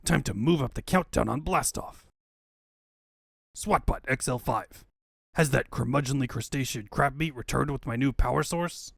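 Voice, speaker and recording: A man's voice: medium pitch, normal speed, gravelly, no echo, studio quality. A man voicing a pompous mad-scientist villain in a comic fan dub, speaking in a grandiose, theatrical way. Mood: scheming.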